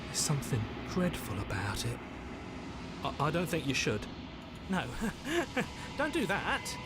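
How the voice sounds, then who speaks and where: A man's voice: fairly high pitch, huge pitch swings, measured pace, loud music behind the voice. A man voicing a worried, regretful inner voice in a visual novel game, speaking with emotive hesitation. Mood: dreading, pleading. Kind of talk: dramatic visual novel voice acting.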